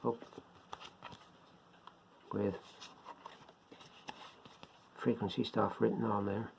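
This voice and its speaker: An older man giving a casual, unscripted product demonstration, talking haltingly as he thinks aloud. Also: lowish voice, level tone, creaky, darkish tone. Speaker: presenter of a beginners' radio hobby video. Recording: dull lo-fi sound, faint background noise.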